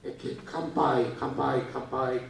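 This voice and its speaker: A man's voice: medium pitch, fairly even intonation, gravelly, far from the mic, a little hiss or hum. Older Taiwanese man speaking in an echoey hall. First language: Japanese. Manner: halting, with many uh fillers and restarts. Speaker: guest speaker. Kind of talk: lecture to students. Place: large lecture hall.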